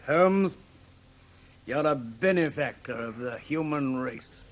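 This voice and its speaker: An actor in a British radio drama playing a genial, admiring gentleman companion to a detective, hesitant, with an English accent. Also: mid-range voice, lively intonation, takes it slowly. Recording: old radio recording with faint noise.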